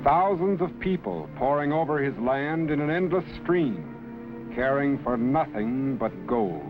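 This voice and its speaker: Solemn narrator, tinny old broadcast. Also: male voice, medium pitch, sing-song, unhurried. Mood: grave, portentous. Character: solemn, commanding male time-travelling scientist guide. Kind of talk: dramatic scripted narration. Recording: tinny vintage broadcast, loud music behind.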